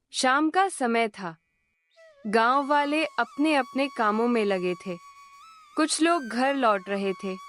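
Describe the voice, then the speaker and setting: A woman narrating a moral cartoon story in a calm, measured voice-over. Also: fairly high pitch.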